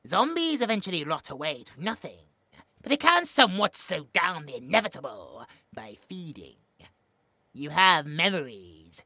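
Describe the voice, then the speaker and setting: A man's voice: a little high, sing-song, pushed and bright, dry recording, full character voice. A man as a smug, swooping British mad scientist. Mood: sinister, gloating. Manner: mocking, snobbish, drawn-out musing. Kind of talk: horror audio roleplay acting.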